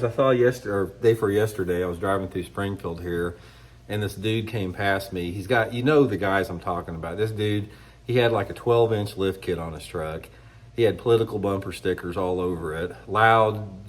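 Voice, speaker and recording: Congested middle-aged man ranting. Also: low voice. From United States. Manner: rambling, blunt, restarts often. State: congested from a cold. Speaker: fishing channel host. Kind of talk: casual opinion monologue to camera.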